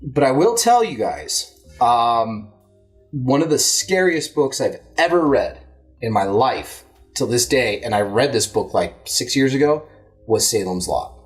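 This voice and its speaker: A man's voice: mid-range voice, big pitch swings, a little slow. Sweary male co-host over music. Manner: profane, rambling. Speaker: show host. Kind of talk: casual banter, answering listener mail.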